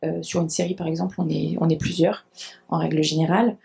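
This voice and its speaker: A woman's voice: sits low, level tone, fast, creaky, dark tone. A woman in her thirties speaking on a university panel with a handheld mic, explaining her work in a hesitant way with many fillers. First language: French. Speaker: dubbing adapter speaking on a panel. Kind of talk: conference panel talk. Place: university lecture hall.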